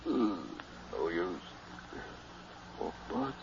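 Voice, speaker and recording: Through a dull old radio recording comes a frightened man trapped in a coffin. His gravelly voice swings wildly in pitch, halting, muttering and crying out in vintage horror drama fashion. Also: big pitch swings, darkish tone, slight background noise, exaggerated voice. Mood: despairing. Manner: halting, breathy muttering breaking into desperate cries. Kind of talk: 1960s radio horror monologue acting.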